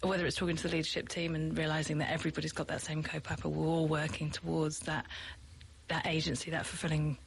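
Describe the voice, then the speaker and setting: A woman's voice: sits low, flat delivery, fast, creaky, slight background noise. British woman, reflective and laughing lightly. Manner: warm, reflective, many fillers and light laughs. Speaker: teacher. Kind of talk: interview answers.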